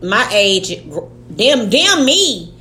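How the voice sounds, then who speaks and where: A woman's voice: fairly high pitch, very slow, roomy sound. A woman in her late thirties co-hosting a podcast, chatting casually with lots of slang and swearing. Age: 30s. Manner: animated, slangy, swears freely. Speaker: podcast co-host. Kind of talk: casual chat.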